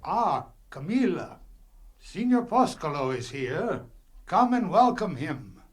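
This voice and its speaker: An actor playing a poor, earnest father in a radio play, speaking in a formal, pleading, deliberate manner. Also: a little high, sing-song, slightly rough, full character voice. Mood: welcoming.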